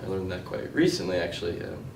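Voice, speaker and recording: A man acting on stage as a self-assured, condescending man who argues in a halting way. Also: low voice, expressive, background noise. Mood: smug, dismissive. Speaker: stage actor. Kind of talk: stage play dialogue. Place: indoor stage, recorded from afar. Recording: echoey stage recording from a distance.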